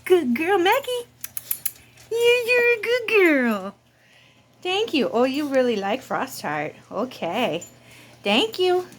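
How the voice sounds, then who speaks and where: A woman's voice: high voice, very animated, takes it slowly, long pauses. A woman book vlogger chatting casually to camera in informal, slangy language.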